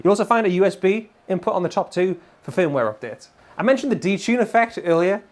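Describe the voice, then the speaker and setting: High-pitched, excitable male guitar reviewer. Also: fast. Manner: excitable, informal. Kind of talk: enthusiastic casual product demo. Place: indoor guitar studio room. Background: electric guitar playing.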